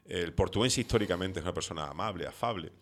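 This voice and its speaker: Middle-aged guy hosting an interview, really low voice, talks fast. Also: gravelly. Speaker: host interviewer. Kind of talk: conversational interview with long opinionated questions.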